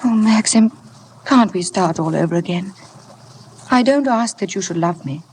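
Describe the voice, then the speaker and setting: An actress playing an earnest, devoted young wife in a 1950s radio drama, with a breathless, emotional manner. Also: female voice, mid-range voice, expressive, moderate pace, darkish tone, natural voice. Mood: earnest pleading. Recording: noisy old 1950 radio broadcast.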